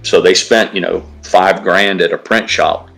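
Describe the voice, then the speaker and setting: A man's voice: sits low, steady tone, measured pace, vocal fry, a bit bright, faint music behind. A man co-hosting a casual livestream, talking in a blunt, profane, ranting way. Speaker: livestream co-host. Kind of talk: casual stream chat.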